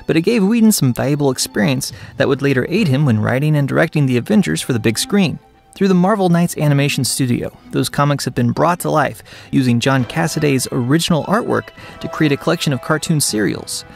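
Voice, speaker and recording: A man's voice: a little high, animated, brisk, gravelly. Man reviewing entertainment, music behind. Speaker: video reviewer and host. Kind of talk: entertainment review, part to camera, part scripted narration. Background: background music.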